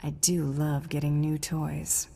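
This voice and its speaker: An actress playing a cool, wry bounty hunter in a video game, with a deadpan, sardonic delivery. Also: female voice, deep voice, slow pace, creaky, a little hiss or hum, exaggerated voice.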